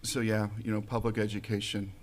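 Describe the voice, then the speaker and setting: A man in his forties answering at a school board candidate forum, plain and halting, with fillers and restarts. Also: on the low side, level tone, slight background noise. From Missouri, USA. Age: 40s.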